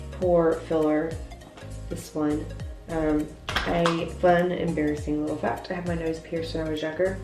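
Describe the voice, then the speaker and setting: A young woman with a congested, stuffy voice, chatting casually through a makeup tutorial and explaining each step in a self-deprecating way. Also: low voice. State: congested, voice lower than usual. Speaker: beauty vlogger. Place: hotel bathroom. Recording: echoey bathroom from off-mic, faint music.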